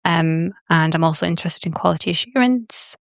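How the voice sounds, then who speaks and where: A woman with a fairly low voice introduces her research background in a modest, slightly hesitant way, with frequent fillers. Her delivery is plain and conversational. The recording is dry and close. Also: a little fast, gravelly, no room sound. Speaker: research fellow guest. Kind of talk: podcast interview.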